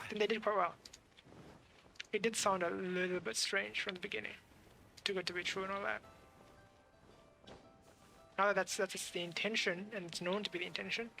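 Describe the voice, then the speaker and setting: A woman's voice: medium pitch, creaky, bright, forceful tone, rough recording. A woman streaming a game, giving casual off-the-cuff commentary and hesitantly thinking aloud. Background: game music.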